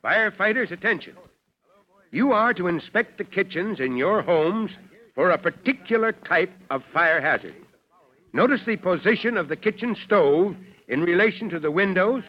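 An actor playing a hearty, authoritative fire chief in an old radio serial, with emphatic, commanding delivery. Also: male voice, high-pitched. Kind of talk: old-time radio drama acting. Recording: muffled old radio recording, crowd behind.

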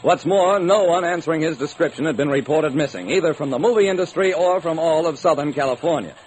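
A man's voice: on the high side, fast talker, a little mellow, close to an everyday voice. An actor playing a hard-boiled private detective, narrating his case with dramatic, wry deliberation in old-time radio style. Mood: brisk, businesslike. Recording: noisy old radio recording.

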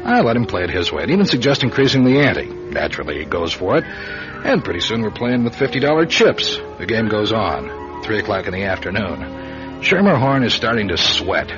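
An actor playing a wry, middle-aged hard-boiled card hustler in a radio detective drama, with a dry wisecracking delivery. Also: male voice, fairly low pitch, sing-song, moderate pace. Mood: dry narrating. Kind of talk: radio detective drama, first-person narration and dialogue. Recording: dull lo-fi radio show, music behind.

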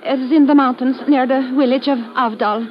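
A dull old radio recording carries an actress as a nervous young woman. Her voice is high, her phrasing hesitant and careful. She sounds evasive, in the manner of a radio femme fatale. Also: steady tone, fairly quick, lightly acted. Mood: reluctant, confessing. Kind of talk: old-time radio drama acting.

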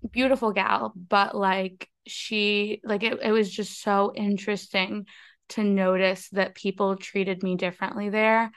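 Young female podcast host, casual and candid, smooth tone, dry close recording. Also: mid-range voice, level tone, no room sound. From United States. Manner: chatty, candid, quick asides.

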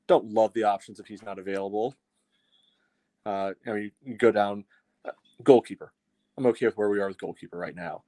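Lowish, dry-sounding man talking sports. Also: fairly even intonation, brisk, long pauses, warm tone, no echo. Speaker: co-host of a soccer fan show. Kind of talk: casual sports analysis.

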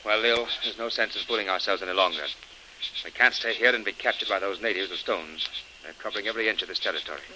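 Urgent, rapid-fire adventure leader. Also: male voice, fairly low pitch, sharp tone. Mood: worried, resolute. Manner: urgent, commanding, earnest. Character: decisive male planter leading an escape. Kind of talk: old-time radio adventure serial acting. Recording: noisy vintage radio recording.

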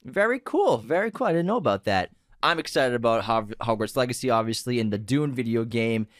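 A man hosting a podcast in a casual, upbeat way, laughing easily as he steers the conversation. His voice sits in a middle pitch. The sound is dry and close, with no room echo. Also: animated, a little fast. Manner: upbeat, laughs often, leads the questions. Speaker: podcast host.

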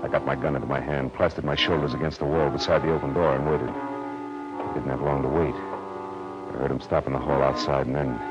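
An American man acting a tough, wry private detective in a 1940s radio drama, speaking in a clipped, hard-boiled way. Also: extremely low pitch. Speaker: lead actor and narrator. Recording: muffled old radio recording, loud music.

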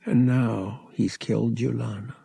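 A man's voice: fairly high pitch, big pitch swings, measured pace, creaky, slightly rough, no room sound, pitched above the performer's own voice, full character voice. A man narrating an audiobook, voicing a bitter, defiant young male pilot who speaks with desperate urgency.

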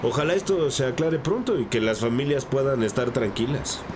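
Man playing a plain-spoken bus driver, animated pitch. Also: normal speed, close to an everyday voice. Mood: explaining, cooperative. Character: male school bus driver, plain-spoken, cooperative under questioning. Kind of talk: audio drama acting. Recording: noisy, vehicle ambience behind.

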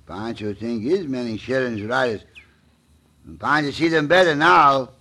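An actor playing an excitable, comic Mexican cowboy sidekick in an old radio western, speaking broken English with a Mexican accent. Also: male voice, mid-range voice, unhurried. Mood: wry, anxious. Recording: noisy old radio recording.

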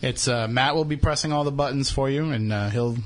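Man, Boston accent, radio host, casual joking banter, mid-pitched voice. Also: fairly quick. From New England. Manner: joking, self-deprecating.

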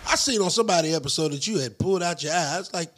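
A man's voice: a little high, lively intonation, racing, fairly bright tone. A man hosting a casual interview, speaking in an easygoing, slangy way with brief agreeing interjections. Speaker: podcast interviewer.

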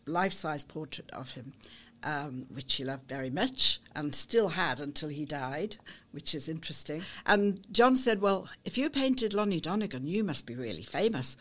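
Older Liverpool woman telling stories. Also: low-pitched. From Liverpool, England. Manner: warm storyteller, frequent ums, laughs while recalling. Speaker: guest. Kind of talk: reminiscing interview. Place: small office studio.

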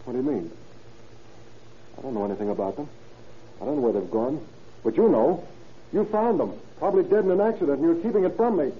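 A man's voice: a little high, lively intonation, quick pace, pauses often, close to an everyday voice. An actor playing an evasive, easily agitated young American man under suspicion in an old-time radio crime drama, deferential but defensive. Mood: agitated, pleading. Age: young adult. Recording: muffled, noisy vintage radio recording.

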